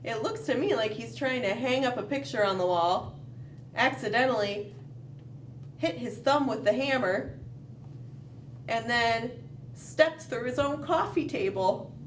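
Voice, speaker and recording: A woman hosting a children's storytime, reading a picture book aloud expressively, with dramatic pauses and questioning asides to young listeners. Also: on the high side, lively intonation. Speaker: children's library storytime host. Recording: roomy, distant mic, faint background noise.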